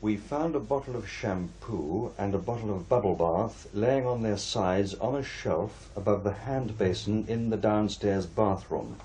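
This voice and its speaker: An actor playing a formal, cautious and inquisitive paranormal investigator in a radio play, speaking with careful precision. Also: male voice, lowish voice, a little slow, hardly any pauses, warm tone, breathy edge, poor audio. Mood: precise, cautious. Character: measured, inquisitive male paranormal investigator, a widower.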